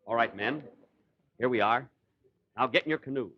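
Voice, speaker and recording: A man's voice: mid-range voice, normal speed, muffled recording, heavy background noise, natural voice. A man playing a fussy, exasperated Ranger major in a 1940s radio comedy, mock-commanding and put-upon. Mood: hushed bossy. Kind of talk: 1940s radio comedy acting before live audience. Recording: 1940 live radio broadcast.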